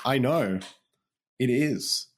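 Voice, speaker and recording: A man co-hosting a podcast, hoarse and losing his voice from illness, chatting casually about a film with a teasing, jokey manner. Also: medium pitch, animated, very slow. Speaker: podcast co-host.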